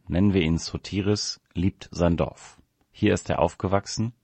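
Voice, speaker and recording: A man's voice: low-pitched, darkish tone, no room sound. A man reading a written essay aloud as scripted narration.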